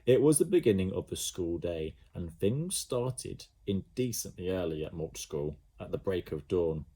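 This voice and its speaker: A male teacher reading a storybook aloud to young pupils, telling it in a lively, expressive way. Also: deep voice, moderate pace, slightly rough.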